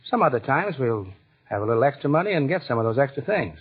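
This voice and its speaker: A man's voice: medium pitch, very animated, fast talker, husky. An American actor playing an earnest, proud but downhearted young husband and stepfather in a 1940s radio drama. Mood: apologetic, subdued. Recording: muffled, narrow-band 1940s radio recording.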